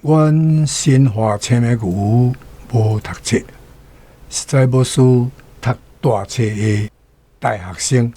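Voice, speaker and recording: A man, a guest commentator, casually explaining word meanings in a relaxed, musing way with fillers. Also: mid-range voice, breathy edge.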